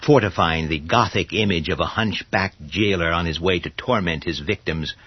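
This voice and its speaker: A man narrating a scripted horror story in a dramatic, deliberate manner. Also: low-pitched, husky, no echo. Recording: dull audio with faint music.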